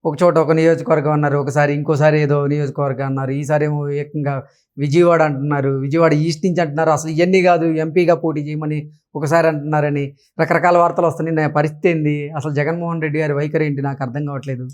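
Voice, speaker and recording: A man's voice: fairly high pitch, little pitch movement, fast talker, a little mellow. A man speaking as an interviewer, laying out political news in a conversational style. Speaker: interviewer, host.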